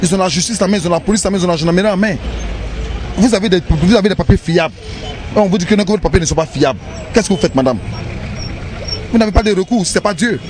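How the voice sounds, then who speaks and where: Ivorian guy in a street interview, highish voice, emphatic, repeats himself, loud traffic noise. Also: fast, long pauses. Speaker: aggrieved resident interviewed on the street. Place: outdoors on a city street. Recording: noisy outdoor street with traffic.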